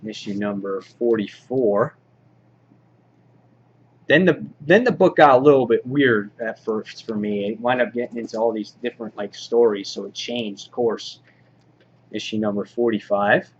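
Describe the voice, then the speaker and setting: A man giving an informal, halting show-and-tell commentary as a hobby vlog host, in an understated way. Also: lowish voice. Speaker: vlog host showing a comic book collection. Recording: dull lo-fi audio, clear background noise.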